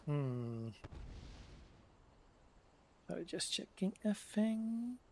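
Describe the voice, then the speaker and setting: A man giving casual, unhurried let's play commentary, musing to himself in short remarks. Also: sits high, big pitch swings, warm tone. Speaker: video game let's player. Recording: game music clearly behind the voice. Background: game music.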